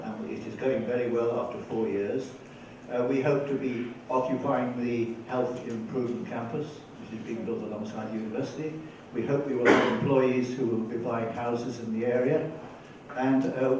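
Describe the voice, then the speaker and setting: A man in the audience asking a question at a debate, speaking deliberately. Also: medium pitch. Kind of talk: question from the floor. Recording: echoey hall, far from mic, faint noise.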